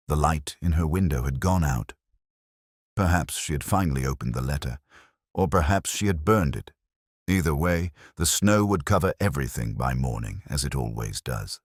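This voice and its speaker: A man narrating a first-person detective story in a measured, solemn, literary manner. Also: extremely low pitch, dry recording, clean studio sound.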